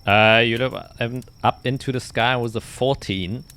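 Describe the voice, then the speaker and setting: A man improvising narration as game master of a tabletop fantasy game, often pausing to search for his words. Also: medium pitch, fairly even intonation, a bit bright, smooth.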